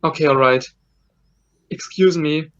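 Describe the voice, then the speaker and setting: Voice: medium pitch, unhurried, lo-fi sound, lightly acted. Nervous, hesitant elevator boy as someone acts him. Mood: nervous, apologetic. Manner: hesitant, stammering. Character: Johnny, nervous, apologetic male elevator attendant. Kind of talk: amateur audio play acting.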